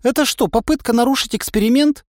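A man voicing a timid but stubborn young woman who talks in a squeaky, plaintive way. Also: very animated, a little fast, darkish tone, dry recording, clean studio sound. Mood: indignant. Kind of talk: audiobook character voice.

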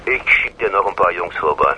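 A commanding boss running a surveillance job in a radio thriller. The voice is low and sharp, questioning and ordering in curt phrases, over faint background noise. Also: male voice, animated, a little fast, exaggerated voice, poor audio. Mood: cool, commanding. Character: commanding male boss directing a covert tail. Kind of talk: German radio crime drama acting.

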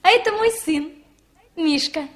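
A woman's voice: very high-pitched, lively intonation, measured pace. An actress in a 1960s Soviet radio play as a cheerful fortune-teller mother, folksy and coaxing.

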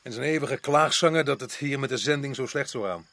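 An actor playing a sardonic, outspoken atheist colonial official in a radio play, mocking and argumentative. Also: male voice, mid-range voice, brisk, fairly bright tone, a little hiss or hum, natural voice. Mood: dismissive.

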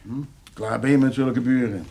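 An actor playing a gruff, pious, middle-aged farmer father, curt and domineering, in a radio drama. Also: mid-range voice, steady tone, normal speed, dark tone, close to an everyday voice. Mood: curt, defiant.

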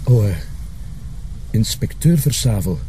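A man's voice: fairly low pitch, animated, takes it slowly, background noise. A man acting an officious but polite parking officer in a TV crime drama, speaking formally. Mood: officious, hesitant.

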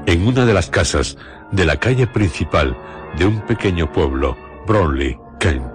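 A man with a Castilian Spanish accent, narrating a literary radio programme in a solemn, measured storyteller's manner. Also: extremely low pitch. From Spain. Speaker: host and narrator of a radio drama series.

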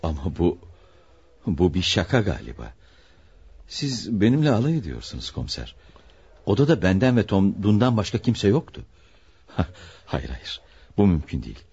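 A man's voice: low-pitched, very animated, moderate pace, long pauses, darkish tone, husky, dull audio, full character voice. An actor playing a stubborn, secretive wealthy father under arrest in a radio play, speaking in a grave, evasive way. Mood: shocked, insistent. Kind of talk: radio theatre acting.

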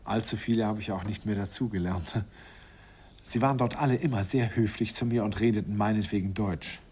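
An actor narrating a radio play as a grown man fondly recalling his youth, softly and wistfully, sometimes whispering. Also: on the low side, brisk, slight background noise, natural voice.

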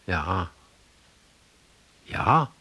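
An actor playing a slow, hungover, dim man in a comic radio play, groggy and sluggish. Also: deep voice, big pitch swings, bright, forceful tone, husky, full character voice.

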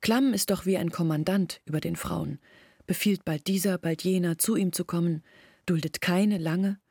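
Low, husky, earnest village woman. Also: moderate pace, darkish tone, no room sound, studio quality. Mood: earnest, persuasive. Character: earnest village woman arguing her family's disgrace, insistent, bitter. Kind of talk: German radio drama acting.

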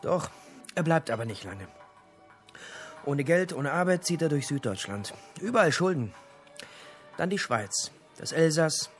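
An actor playing an investigator in a naturalistic radio drama, laying out findings in a dry, clipped way. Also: male voice, fairly high pitch, very animated, a little slow, long pauses, faint music behind. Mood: brisk, grim recounting. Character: male investigator, dryly explaining his findings.

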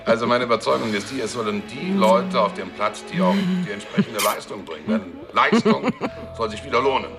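Creaky, waffling politician, played by a man. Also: mid-range voice, lively intonation, a little fast, loud music behind the voice, close to an everyday voice. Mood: jovial, evasive. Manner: waffling, folksy, blustering. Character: flustered boastful businessman-politician evading TV interview questions. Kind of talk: German radio drama acting.

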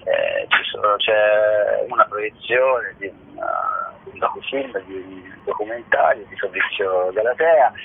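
A man, giving an unscripted interview as an artistic director, hesitant and often repeating himself as he searches for words. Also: on the low side. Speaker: theatre festival artistic director being interviewed. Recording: tinny phone line with clear noise.

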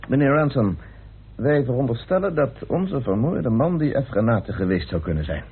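A man's voice: medium pitch, big pitch swings, moderate pace, husky, heavily acted. An actor playing a businesslike, formal chairman of an investigating club in a radio play. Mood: probing. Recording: tinny, muffled old radio drama recording.

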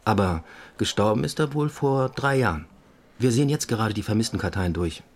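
A man playing a police investigator in an audio drama, reporting in a factual, measured, dry-witted manner. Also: lowish voice, lively intonation. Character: matter-of-fact male police investigator giving a case report. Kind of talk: German audio drama dialogue.

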